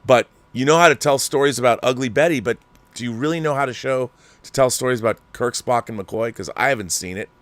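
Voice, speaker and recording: A man hosting his own commentary show, ranting in a heated and sarcastic way. His voice sits at an ordinary middle pitch and is recorded close and dry, with no room sound. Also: quick pace, a bit bright, no room sound.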